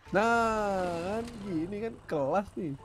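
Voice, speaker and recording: Male gaming buddy, high-pitched, slangy and repetitive, clear music underneath. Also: expressive, extremely slow. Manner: slangy, instructing, repetitive, uses vocal sound effects. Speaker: gaming companion. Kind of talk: casual gameplay banter.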